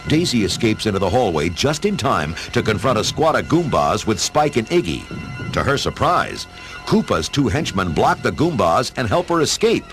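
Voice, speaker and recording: A man narrating an audio drama from a script, with expressive, dramatic storytelling delivery. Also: on the low side, moderate pace, over music.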